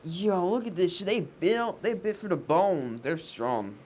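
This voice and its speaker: A man's voice: high voice, lively intonation, unhurried, slight background noise. A young man giving casual, slangy commentary as he reacts to a video, in an offhand way.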